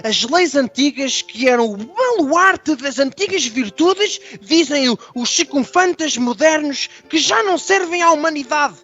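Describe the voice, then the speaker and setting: High-voiced pompous country nobleman. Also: animated, bright, forceful tone, exaggerated voice. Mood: pompous lament. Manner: declamatory, pompous, halting. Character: pompous old-fashioned rural nobleman lamenting lost morals. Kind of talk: radio drama acting. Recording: dull lo-fi audio over music.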